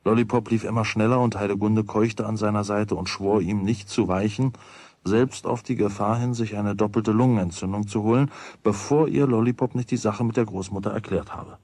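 An actor narrating a children's radio play as a wry storyteller with a husky voice. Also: male voice, lowish voice, steady tone, fairly quick, darkish tone, no echo, natural voice. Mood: wry, matter-of-fact.

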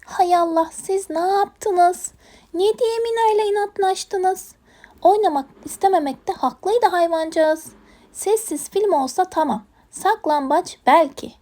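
Woman acting high-voiced, scolding old lady pet owner. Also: expressive, unhurried, dark tone. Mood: scolding, dismayed. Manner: exclaiming, reproachful, sympathetic to the hedgehog. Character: fussy, scolding 86-year-old woman owner of many pets.

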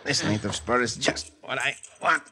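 A man's voice: medium pitch, normal speed, pushed and bright. A man playing a confident caballero hero in a 1950s radio drama. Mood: calm, scheming. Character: dashing male Mexican caballero hero, confident. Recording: noisy old radio broadcast.

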